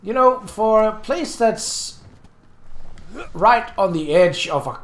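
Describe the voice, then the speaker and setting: Man, game commentary, unhurried, lively pitch, slightly high voice, roomy echo. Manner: chatty, easily distracted, thinks aloud while playing. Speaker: Let's Play host. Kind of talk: casual gameplay commentary.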